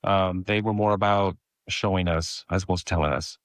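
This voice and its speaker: Low-voiced hesitant man telling his story. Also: fairly even intonation, moderate pace, dry recording, studio quality. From Mexico. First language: Spanish. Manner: hesitant, repeats words and restarts often. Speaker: podcast guest. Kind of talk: personal storytelling in conversation.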